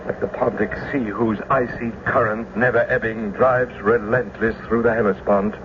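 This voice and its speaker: A deep, slow, vengeful tragic commander. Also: male voice. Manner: declamatory, grave. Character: vengeful tragic military commander, consumed by jealous rage. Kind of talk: classical Shakespearean stage acting in radio drama. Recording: muffled, noisy old radio broadcast.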